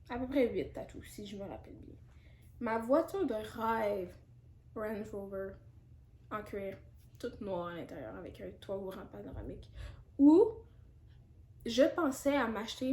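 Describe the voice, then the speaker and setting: A woman's voice: fairly high pitch, mellow, reverberant, a little hiss or hum. A woman in her twenties from Canada with a Quebec French accent, chatting candidly through a casual Q&A vlog with lots of fillers and asides. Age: 20s. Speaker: YouTuber.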